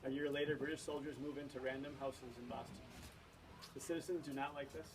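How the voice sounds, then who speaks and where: Male, teacher-like show introducer, informal delivery. Distant mic, clear background noise. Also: mid-range voice, fairly even intonation, fast talker. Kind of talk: informal introduction speech to parents. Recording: noisy room, distant microphone.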